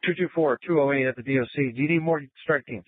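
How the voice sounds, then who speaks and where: A man, a police officer, making brisk reports and queries over police radio. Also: mid-range voice, dry recording. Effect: police radio transmission. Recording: tinny narrow-band police scanner audio.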